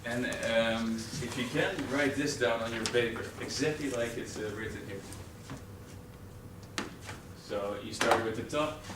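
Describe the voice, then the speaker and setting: Dutch-accented man, young adult, halting presenter, lots of fillers, echoey room, distant mic, background noise. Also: medium pitch. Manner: hesitant, many fillers and restarts. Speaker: presenter in a training course. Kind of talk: live classroom presentation. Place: training room with an audience. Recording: roomy, distant camera audio with noise.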